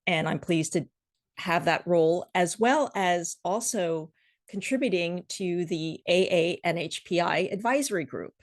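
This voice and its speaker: A middle-aged woman with an American accent, giving measured, articulate answers as a guest in a conversational interview. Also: low voice. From Washington, D.C. area, United States. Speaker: podcast guest, association executive.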